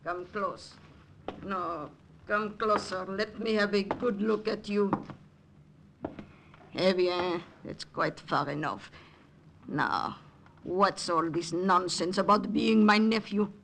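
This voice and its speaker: A woman's voice: medium pitch, slow pace, gravelly, pauses often, fairly bright tone, slight background noise. An actress playing an imperious, shrewd older Frenchwoman with a haughty, commanding manner in a 1940s radio drama. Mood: imperious, wary.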